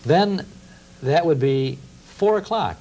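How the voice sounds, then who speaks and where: Creaky-voiced American man explaining. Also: fairly high pitch, lively intonation, takes it slowly, dark tone. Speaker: guest radio historian. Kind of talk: explanatory interview chat. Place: TV studio. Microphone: lapel mic. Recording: faintly noisy old TV tape.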